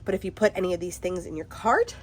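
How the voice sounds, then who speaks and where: A woman with a congested cold, chatting bubbly and excitedly at her craft table in a casual haul video, laughing often. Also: on the low side, fast talker, husky. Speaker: craft vlogger.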